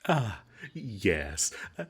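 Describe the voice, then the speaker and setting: Nervous, stammering smooth-talking male adventurer, acted by a man. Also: on the low side, sing-song, exaggerated voice. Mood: sheepish, placating. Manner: halting, stammering, polite, nervous chuckles. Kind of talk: comedic fantasy audio roleplay acting.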